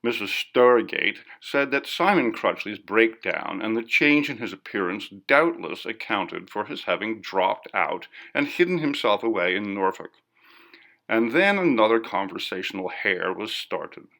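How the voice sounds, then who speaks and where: Low, creaky, expressive male storyteller. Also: measured pace. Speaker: audiobook narrator. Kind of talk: scripted narration of a classic ghost story.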